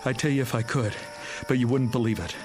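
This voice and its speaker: A man's voice: medium pitch, fast talker, darkish tone, lightly acted. An actor playing a frantic father in a radio drama, speaking with urgent emotion. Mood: uneasy. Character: frantic father whose small daughter has vanished.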